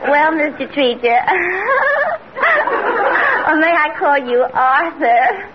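A woman's voice: high-pitched, sing-song, takes it slowly, heavy background noise, heavily acted. An actress playing a coy, brash, man-hungry spinster cashier in an old radio comedy. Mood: coy, gushing. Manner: coy, gushing, easily affronted. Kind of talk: 1940s-50s radio comedy acting. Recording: dull vintage radio before an audience.

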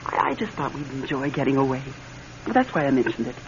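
A woman's voice: extremely low pitch, sing-song, brisk. A woman playing an anxious, affectionate wife in a classic radio drama, hesitant and emotional. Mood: confessing, evasive. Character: anxious, affectionate wife haunted by her late husband. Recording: dull, hissy old radio recording.

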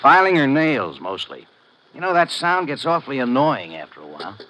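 An actor playing a loyal agent sidekick in a 1950s radio drama, with a casual, colloquial way of talking. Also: male voice, medium pitch, wildly swooping pitch, a little fast, sharp tone, low-quality recording. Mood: watchful. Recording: old radio recording, faint noise.

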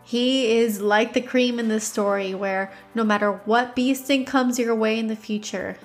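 A woman with a stuffy nose hosting a devotional podcast, reading scripture and telling a story in a conversational way. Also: mid-range voice.

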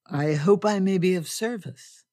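A woman's voice: on the low side, measured pace, mellow, dry recording, clean studio sound. A woman voicing a confident, gallant male army major in an audiobook, with wry, clipped replies. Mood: breezy.

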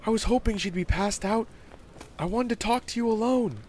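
Man putting on an eager high-voiced young boy. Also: normal speed, darkish tone, slight background noise, lightly acted. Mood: excited. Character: eager, proud young boy raised in a cult.

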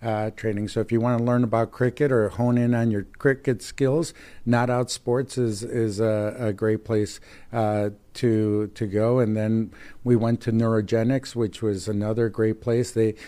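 A man born in Mexico and raised near Chicago, a chamber of commerce president talking casually in an interview, friendly, with many pauses and restarts. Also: fairly low pitch, little pitch movement, warm tone. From Mexico, raised in Chicago area.

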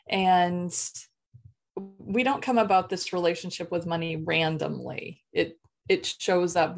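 A woman life and business coach, answering interview questions in a calm, measured, explanatory way. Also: on the low side, gravelly.

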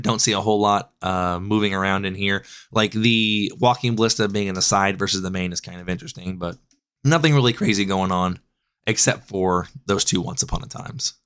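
Raspy guy with a cold, lowish voice, rambling thru decklists and fixing himself as he goes. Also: a bit bright. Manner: loose, rambling with frequent self-corrections. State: hoarse from a cold. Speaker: podcast host. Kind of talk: casual deck analysis.

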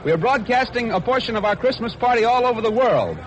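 A man's voice: very high voice, lively intonation, fast. A man working as a reporter, interviewing and announcing in a 1940s radio style on a noisy old broadcast. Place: aboard an aircraft carrier.

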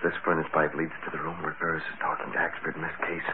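An actor playing a cool, commanding crime-fighter in 1940s radio style, decisive and serious. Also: male voice, very deep voice, completely flat delivery, quick pace. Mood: urgent, commanding. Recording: tinny, noisy old radio broadcast.

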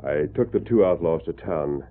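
A man's voice: low voice, animated, moderate pace. A man playing a commanding, upright masked western lawman in a 1940s radio drama. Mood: kindly, assured. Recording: muffled, noisy old radio broadcast.

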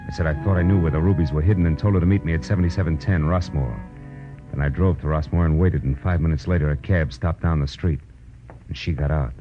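An actor playing a tough, wisecracking private detective in a 1940s radio noir, with an American accent and a clipped, world-weary, slangy delivery. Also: male voice, low-pitched, lightly acted. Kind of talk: 1940s radio noir acting, hardboiled first-person narration. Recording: dull vintage radio recording, music behind.

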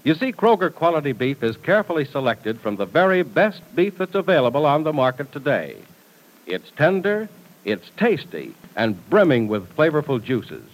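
Animated vintage radio announcer, male. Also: a little high, normal speed, low-quality recording. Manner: folksy, emphatic, theatrical salesmanship. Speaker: announcer and narrator.

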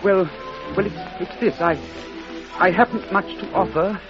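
An actor playing a shy, flustered English schoolmaster in an old radio drama, with a British accent and a hesitant, stammering, politely formal way of talking. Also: male voice, high-pitched, fairly even intonation, moderate pace, close to an everyday voice. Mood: nervous, halting. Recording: dull vintage radio recording, music behind.

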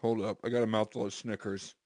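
A man's voice: lowish voice, level tone, fast, a little mellow. A man reading aloud as a podcast co-host, informal and profane, breaking into casual banter.

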